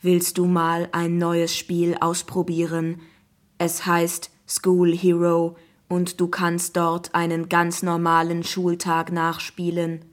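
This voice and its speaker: Lady doing a friendly coaxing ai app voice for a kids story, really slow and deliberate, kinda low. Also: completely flat delivery. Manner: slow, measured, deliberate delivery. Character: friendly, smooth-talking AI app voice, inviting and coaxing. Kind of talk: children's audiobook character voice.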